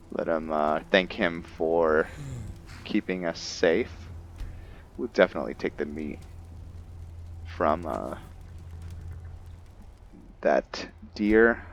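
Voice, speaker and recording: Casting: male gamer, low-pitched voice, casual play-by-play, thinking aloud, faint noise and crickets behind. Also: lo-fi sound. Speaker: gamer narrating roleplay playthrough. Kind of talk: casual game commentary.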